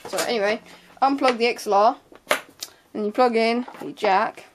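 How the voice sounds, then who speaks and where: A woman's voice: on the high side, moderate pace, noisy background. Hesitant young woman giving a tutorial. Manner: hesitant, offhand, trailing off into asides. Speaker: sound tech tutorial presenter. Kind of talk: informal unscripted hands-on tutorial.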